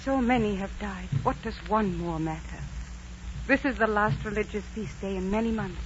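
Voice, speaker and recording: An actress playing a noble, steadfast young Aztec princess in earnest 1940s radio acting style. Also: female voice, mid-range voice, moderate pace, lightly acted. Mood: urgent pleading. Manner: earnest, solemn, theatrical. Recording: muffled 1940s radio recording, faint noise.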